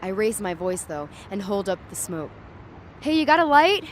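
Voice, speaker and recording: A woman's voice: medium pitch, big pitch swings, moderate pace, close to an everyday voice. A woman playing an uneasy, tripping young woman walking home at night, narrating in the first person in a dramatic audio story. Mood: angry, defiant. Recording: clear street and traffic ambience behind.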